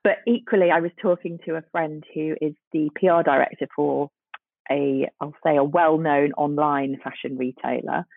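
A woman's voice: deep voice, fairly even intonation, moderate pace, no echo. A woman in her forties, a guest on a chat show, losing her voice, talking chattily and repeating words as she thinks. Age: 40s. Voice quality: husky, gravelly. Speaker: guest, fashion journalist. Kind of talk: casual interview chat. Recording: tinny phone line.

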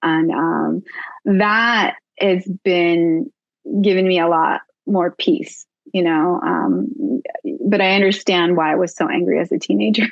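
Interview guest, woman, lowish voice, earnest reflective talk, dry and slightly dull sound. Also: fairly even intonation, a little slow, vocal fry, no room sound. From United States. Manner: reflective, earnest, many fillers. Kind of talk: casual interview conversation.

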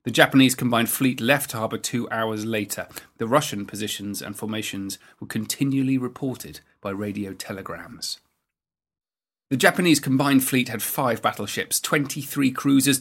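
Guy reading scripted history narration, medium pitch, measured and factual. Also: fairly bright tone. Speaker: narrator of a naval history programme.

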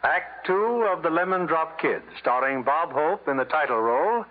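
A man's voice: on the high side, animated, normal speed. A man announcing and narrating from a script in the style of 1950s radio drama. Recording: tinny old radio broadcast. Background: loud music.

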